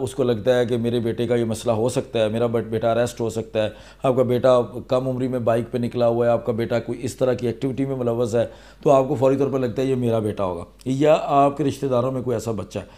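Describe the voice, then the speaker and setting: A man's voice: mid-range voice, fairly even intonation, fairly quick, mellow. A man with a Pakistani accent speaking as a vlogger, explaining things to viewers conversationally and step by step. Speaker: vlogger giving legal awareness advice. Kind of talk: explanatory vlog talking to viewers.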